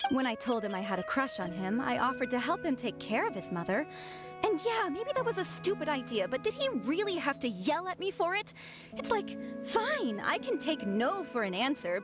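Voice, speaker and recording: Gossipy lovesick girl, high, lively pitch, kinda huffy. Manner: chatty, indignant. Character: lovesick young woman, gossipy and resentful. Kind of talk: game voice acting. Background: game music.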